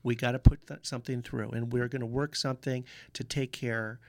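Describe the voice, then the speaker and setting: Man, guest, earnest, urging, gravelly voice, dry close sound. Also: medium pitch, level tone, fairly quick, no echo. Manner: earnest, urging, some restarts. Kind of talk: advocacy talk.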